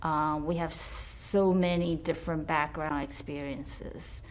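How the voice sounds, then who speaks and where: A middle-aged woman from Taiwan tells a childhood story slowly, in a lowish voice. She brings it to life by acting out the voices of the people in it. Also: slight background noise, poor audio. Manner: animated storytelling, acting out quotes. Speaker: school staff member. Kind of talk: personal storytelling.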